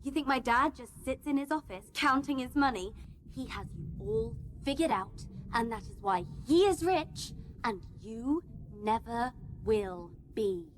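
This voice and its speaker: Radio play casting: entitled, sneering rich daughter, acted by a woman, high voice, unhurried, sarcastic delivery. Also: lively intonation, a little hiss or hum. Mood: scornful. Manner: sarcastic, scornful.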